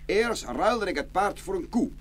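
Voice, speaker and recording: A man's voice: high-pitched, lively intonation, measured pace, a little hiss or hum. A man playing a cheerful, naive farmer in a children's fairy-tale audio play, chatty and hearty, full of exclamations. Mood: proud, contented. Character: cheerful, naive farmer, contentedly bartering away his goods.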